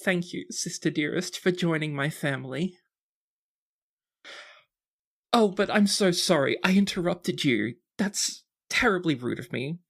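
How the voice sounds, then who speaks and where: Man voicing a gushing, high-pitched tipsy teenage girl. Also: expressive, moderate pace, vocal fry, hardly any pauses, fairly bright tone, full character voice. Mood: affectionate, apologetic. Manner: effusive, tender, formally polite.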